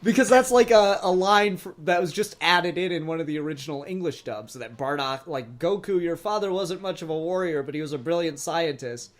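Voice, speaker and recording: A man's voice: a little high, a little fast, hardly any pauses. A man co-hosting a podcast, chatting casually and enthusiastically as a fan reviewer, with plenty of swearing.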